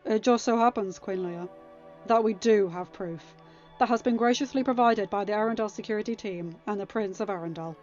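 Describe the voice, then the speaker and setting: A woman's voice: medium pitch, a little mellow, dull audio, soft background music, natural voice. A woman voicing an earnest young king who speaks formally and diplomatically in a storybook reading. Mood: firm. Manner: formal, measured.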